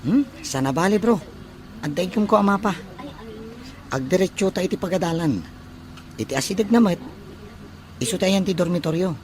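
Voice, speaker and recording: A man's voice: high voice, animated, normal speed, pauses often, a little mellow, poor audio. A man playing a young student from the provinces in an audio drama. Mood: chatty, friendly. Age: young adult. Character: young provincial male student new to the city. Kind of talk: acted audio drama dialogue.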